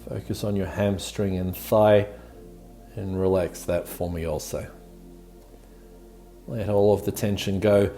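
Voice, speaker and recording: A man leading a guided meditation in a soothing, gently encouraging way, giving calm instructions as the host. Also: low voice, a little slow, long pauses. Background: background music.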